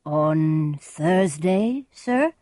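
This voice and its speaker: A woman's voice: sits low, expressive, drawn-out words, warm tone, no echo, studio quality, heavily acted. A woman voicing a grave, troubled male friar with a hesitant manner in a dramatized storybook reading.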